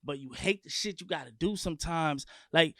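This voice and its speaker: A man from Long Beach, California, a guest music artist talking in an animated, profane, emphatic way in a casual sit-down interview. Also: fairly high pitch, normal speed, dry recording, studio quality.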